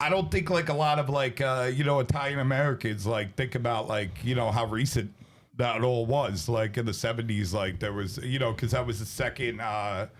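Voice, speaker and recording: A man hosts a casual podcast interview at an average male pitch. His delivery is informal and rambling, full of slangy, conversational turns as he works his way toward each question. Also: moderate pace. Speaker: podcast host.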